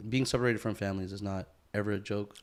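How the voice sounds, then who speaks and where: A man's voice: fairly low pitch, fairly even intonation, quick pace, clean tone. A young American man co-hosting a podcast, chatting loosely with plenty of fillers and casual swearing. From United States. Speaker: podcast co-host. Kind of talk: casual chat.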